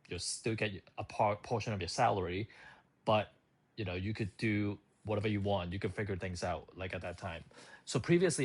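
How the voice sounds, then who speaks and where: A man in his thirties who grew up in Hong Kong, telling a personal story to the camera in a loose, casual way with plenty of fillers and restarts. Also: fairly low pitch. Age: 30s. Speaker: channel host.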